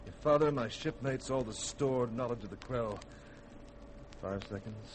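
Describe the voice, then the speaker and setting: An actor playing a grave, steadfast spaceship commander in a 1950s radio drama, solemn and measured. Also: male voice, medium pitch, close to an everyday voice. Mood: tense countdown. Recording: noisy vintage radio recording.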